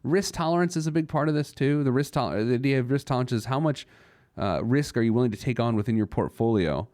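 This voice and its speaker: A man's voice: mid-range voice, fast, a little mellow, no room sound, clean studio sound. A man hosting a personal finance radio show off the cuff, emphatic and often answering his own rhetorical questions. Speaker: radio host, financial planner.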